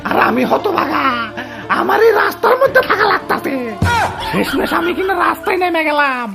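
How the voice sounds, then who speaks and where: A man's voice: extremely high pitch, expressive, normal speed, gravelly, music in the background, heavily acted. A man voicing a self-pitying, hot-tempered puddle of floodwater in a comic cartoon, whining melodramatically in a Bangladeshi accent. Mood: wailing, indignant. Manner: whiny, melodramatic complaining.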